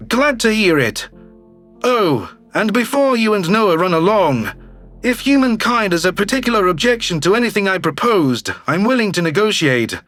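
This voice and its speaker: A man's voice: high voice, sing-song, moderate pace, a bit bright, faint music behind, voice pushed down. A man voicing a smug, calculating alien governor, slick and self-satisfied, in a theatrical audio drama style. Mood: smug, curt. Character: smug, calculating male alien politician, newly elected governor. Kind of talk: scripted sci-fi audio drama dialogue.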